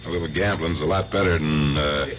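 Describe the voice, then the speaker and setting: An actor playing a brusque, tough-talking but good-hearted middle-aged uncle who runs a gambling club, in radio drama style. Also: male voice, a bass voice, steady tone, brisk, a crowd in the background. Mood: gruff, easygoing. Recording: muffled radio drama recording, loud hiss.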